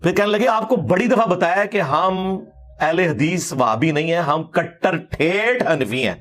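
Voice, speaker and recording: A man's voice: sits high, lively intonation, fairly bright tone. A man with a Pakistani Punjabi accent giving an informal religious lecture in an emphatic, argumentative, rhetorical way.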